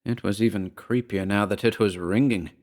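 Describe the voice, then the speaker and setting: A man with a fairly low voice reads a horror story as its narrator. His delivery is measured and dramatic, shaped as scripted storytelling. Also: steady tone, a little mellow.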